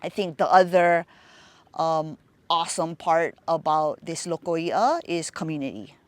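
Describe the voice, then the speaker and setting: A middle-aged woman with a Hawaiian accent, a fishpond nonprofit director speaking in a reflective interview, unhurried and pausing often with fillers. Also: low-pitched, no room sound.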